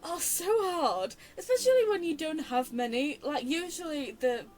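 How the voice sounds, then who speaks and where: A woman's voice: sits high, big pitch swings, moderate pace, soft background music. A chatty young woman giving casual, digressive, self-deprecating game commentary. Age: young adult. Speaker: game streamer.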